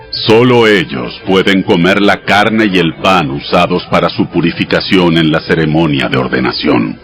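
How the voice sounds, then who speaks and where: A man playing God as a solemn, commanding male deity, delivering measured commands in a dramatized audio scripture. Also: sits low, fairly bright tone, heavily acted. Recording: music behind the voice. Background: music.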